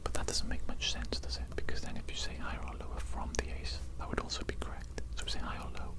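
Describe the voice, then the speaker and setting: An ASMR performer in a roleplay, whispering close to the microphone and softly repeating phrases. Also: fast. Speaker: ASMR performer playing an experimenting doctor.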